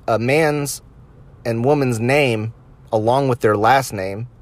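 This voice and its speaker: A man's voice: mid-range voice, dry recording. Casual, crude-talking male podcaster. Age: late 20s to early 30s. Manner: crude, swearing, amused by own stories. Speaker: podcast host. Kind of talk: casual anecdotal storytelling to listeners.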